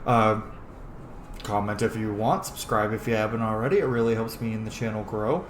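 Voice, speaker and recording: A man's voice: on the low side, brisk. A man giving casual, sweary video game commentary, reacting aloud as he plays. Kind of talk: casual let's-play commentary. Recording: roomy echo, game music behind. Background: video game music.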